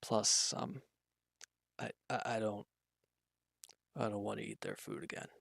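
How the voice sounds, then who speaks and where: Guy acting out a worn out hurt villain, low gravelly voice, hesitant n grudging, kinda guarded, super dry close audio drama sound. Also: huge pitch swings, measured pace, fairly bright tone, no room sound, heavily acted. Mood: weary, reluctant. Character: injured, weary male villain, guarded but quietly considerate. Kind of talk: roleplay audio drama, intimate one-sided dialogue.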